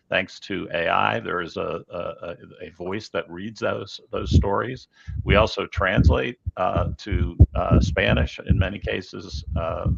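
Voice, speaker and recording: Very gravelly, low-pitched man. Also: a bit bright, no room sound, muffled recording. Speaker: newspaper journalist guest. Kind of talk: casual interview answer.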